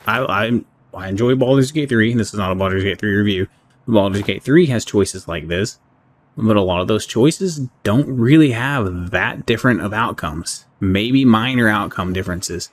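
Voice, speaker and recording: A man giving casual, unscripted game review commentary in a halting, conversational way. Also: mid-range voice. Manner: halting, conversational, often checks in with "right?". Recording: clearly audible background noise.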